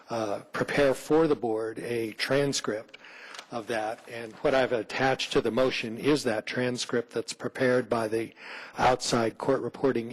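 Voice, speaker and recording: A man with a rough, hoarse voice from laryngitis, an attorney making a formal argument before a licensing board, with many fillers. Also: medium pitch, low-quality recording. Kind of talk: formal legal argument at a hearing. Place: city licensing hearing room.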